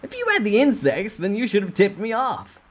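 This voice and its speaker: A man's voice: high-pitched, wildly swooping pitch, a little fast, voice pushed up, full character voice. A man voicing a pompous, bullying department head in a storybook reading, condescending and exclamatory. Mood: scolding. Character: pompous, bullying male corporate department head, sneering and indignant.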